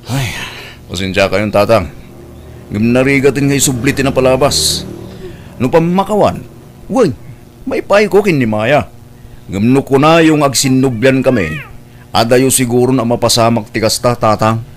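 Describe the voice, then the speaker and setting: Hurt, stubborn husband played by an actor, medium pitch. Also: male voice, moderate pace, pauses often, a little hiss or hum, lightly acted. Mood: firm, regretful. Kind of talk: Ilocano radio drama acting.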